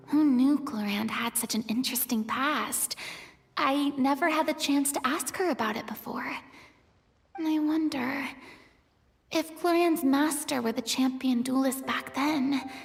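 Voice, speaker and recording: An actress playing a theatrical, inquisitive young woman in a video game, musing in an expressive way. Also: high voice, a little slow, creaky, soft background music. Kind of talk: scripted video game dialogue.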